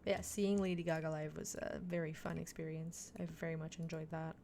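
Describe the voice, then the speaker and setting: Chatty woman streamer, lowish voice. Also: flat delivery, fairly quick, dark tone, slight background noise. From Montreal, Quebec, Canada. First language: French. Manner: chatty, thinking aloud, self-deprecating asides. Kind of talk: casual chat while gaming.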